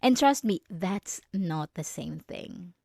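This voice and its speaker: A woman's voice: lowish voice, lively intonation, slow pace, vocal fry, darkish tone, no echo, clean studio sound, heavily acted. A young woman playing a jealous, condescending yandere student bully in an ASMR roleplay, speaking straight to the listener with sarcastic, taunting emphasis. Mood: jealous, scornful.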